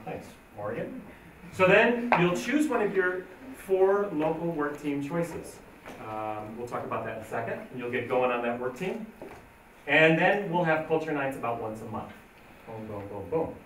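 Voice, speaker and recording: A man's voice: mid-range voice, a bit bright. A man leading a program info night, explaining plans in an upbeat, informal way. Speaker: program leader. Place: school meeting room. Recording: echoey room, distant mic, faint noise.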